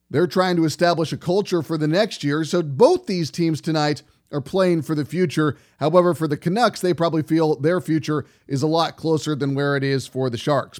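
A man's voice: on the high side, a little mellow. A man hosting a sports radio show, giving conversational commentary in emphatic, repeating rhetorical runs.

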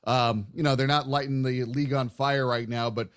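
A man's voice: mid-range voice, fairly even intonation, moderate pace, clear, resonant tone. A man hosting a sports podcast, talking in a chatty, discursive way like a casual broadcaster in conversation. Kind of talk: casual sports interview talk.

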